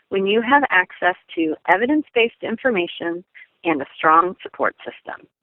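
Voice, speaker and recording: A woman presenting a scripted informational segment, earnest and expressive. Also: lowish voice, no room sound. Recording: tinny phone-like line.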